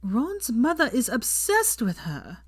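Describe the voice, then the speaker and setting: Tipsy young woman, done by a female narrator, high and giddy, swoopy dramatic storybook voice. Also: takes it slowly, husky, no room sound, studio quality, heavily acted. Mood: grave complaint. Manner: excited, shrieking, slurred exclamations.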